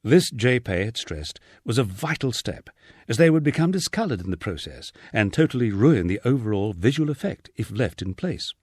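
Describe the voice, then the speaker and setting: A man's voice: mid-range voice, big pitch swings, normal speed, darkish tone, dry recording, studio quality. A man reading a humorous memoir as an audiobook, with a wry storytelling delivery. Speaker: audiobook narrator. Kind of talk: scripted narration of a humorous memoir.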